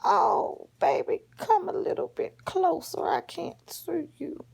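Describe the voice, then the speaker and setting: Woman putting on a high, coaxing wolf posing as granny. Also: expressive, creaky, a little mellow, heavily acted. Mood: sweetly coaxing. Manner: coaxing, drawn-out endearments. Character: big bad wolf posing as sweet old grandma.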